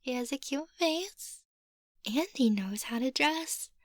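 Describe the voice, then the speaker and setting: A woman's voice: sits high, lively intonation, slow, dry recording, clean studio sound. A woman playing a childish, bubbly young lady of the manor in an ASMR roleplay, with a playful, girlish manner. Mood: delighted.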